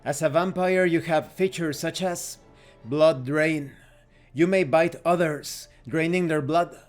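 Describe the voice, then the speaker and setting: A man's voice: a little high, slow pace, faint music behind. A man reading rulebook text aloud as scripted narration for a tabletop game review.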